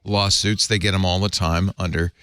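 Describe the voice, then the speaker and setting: A man hosting a casual tech talk show, animated and opinionated, discussing his own company's forums. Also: sits low, normal speed, clear, resonant tone, no room sound. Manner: lively, animated retelling with quoted voices. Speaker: podcast host.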